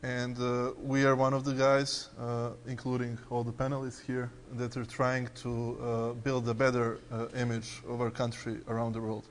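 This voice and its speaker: A man with a Serbian accent speaks in English on a conference panel, his pitch fairly flat and even. His voice carries a long hall reverb over a faint background hum, and he pauses often on "uh" as he builds his sentences. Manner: fluent but hesitant, many "uh" fillers and restarts. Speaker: tech company CEO on a panel. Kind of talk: panel introduction.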